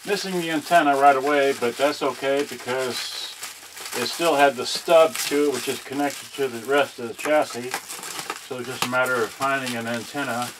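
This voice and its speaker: A man talks casually to the camera as he handles things, thinking aloud in loose, halting fragments. His voice sits at an ordinary middle pitch. The recording picks him up from a distance, with heavy noise and clicks around him. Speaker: vlogger unboxing and testing a vintage cassette radio. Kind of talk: unscripted casual narration while handling items.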